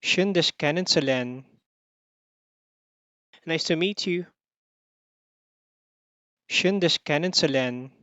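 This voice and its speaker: A slow, measured lesson host who reads each phrase carefully and then repeats it. The recording is close and dry and somewhat muffled, with the top end dulled. The delivery stays even throughout. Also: medium pitch, no echo. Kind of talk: scripted lesson narration.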